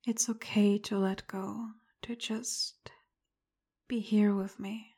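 A woman speaking straight to the listener, first frustrated and confrontational, then soft, apologetic and tender, much of it in a breathy whisper. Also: medium pitch, level tone, slow. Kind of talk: roleplay audio addressed to the listener.

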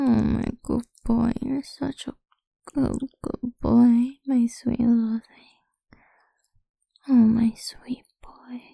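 A woman speaking in a soft, coaxing, mostly whispered voice for an intimate ASMR roleplay. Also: fairly high pitch, creaky, slightly rough, no room sound.

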